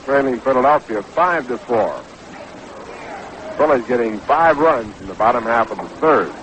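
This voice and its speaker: Male play-by-play baseball announcer, medium pitch, unhurried delivery, vintage AM radio sound with slight noise. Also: lively intonation, a bit bright. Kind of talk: live radio play-by-play commentary. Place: stadium broadcast booth. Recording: noisy vintage 1960s AM radio broadcast.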